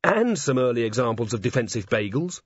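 A man's voice: medium pitch, sing-song, brisk, husky. A man voicing an earnest, cheerfully oblivious young male watchman. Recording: slightly dull lo-fi audio.

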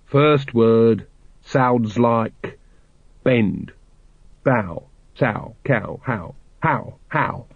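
A man's voice: medium pitch, fairly even intonation, normal speed, hardly any pauses, no echo, phone-quality sound, close to an everyday voice. A man voicing a tired, hard-drinking middle-aged male watch captain with a dry, weary manner.

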